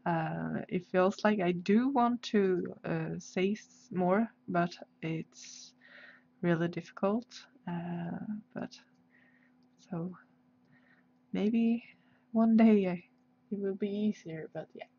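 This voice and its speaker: A dull, lo-fi livestream recording of a woman with a Swedish accent. She talks slowly and casually, pausing often and hunting for English words as she hosts. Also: on the low side, creaky, dark tone. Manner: hesitant, halting, searching for English words. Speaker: livestream host, artist. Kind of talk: casual livestream chat while drawing.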